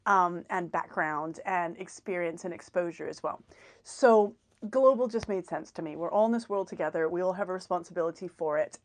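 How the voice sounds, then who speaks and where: A woman hosting a personal finance live stream, talking in a rambling casual monologue with many fillers and repeated words, a little run down. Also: on the low side. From grew up in Hong Kong, Canada, Australia and New Zealand.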